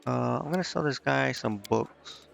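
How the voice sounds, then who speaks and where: A man's voice: medium pitch, unhurried, creaky. A man casually commenting on a video game he is streaming, thinking aloud and reading bits of on-screen text. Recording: slightly dull lo-fi mic, game music behind.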